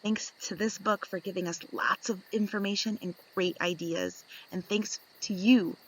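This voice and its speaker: A woman reading a picture book aloud to young children, expressive and instructive, putting questions to her listeners and answering them. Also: mid-range voice, normal speed, breathy edge, poor audio.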